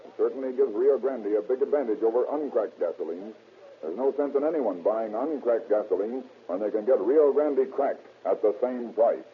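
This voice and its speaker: A man playing a confident laboratory chemist giving an assured pitch in a 1930s radio advertisement. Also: normal speed, natural voice. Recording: tinny, noisy 1930s radio recording.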